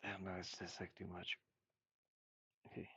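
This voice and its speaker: A man's voice: low voice, monotone, moderate pace, creaky, sharp tone, breathy edge, no echo, muffled recording. A man chatting casually on a livestream while he works, rambling and half muttering to himself. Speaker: streamer, game developer. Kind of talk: casual livestream chat while drawing game art.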